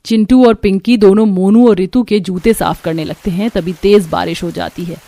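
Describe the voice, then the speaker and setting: A woman's voice: mid-range voice, fairly even intonation, moderate pace, dark tone. A woman reading scripted narration for a cartoon story.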